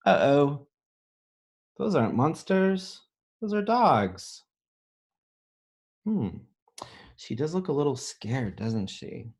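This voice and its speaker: A man reading a children's picture book aloud as a teacher, pausing to think aloud and explain things simply. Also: a little high, sing-song, darkish tone, slightly rough. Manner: pauses to pose questions and think aloud.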